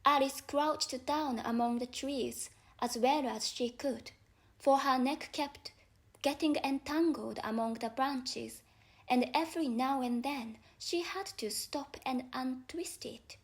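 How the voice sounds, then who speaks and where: A woman narrates a storybook in a high voice. Her English has a non-native accent, and she reads the scripted prose slowly. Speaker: audiobook narrator.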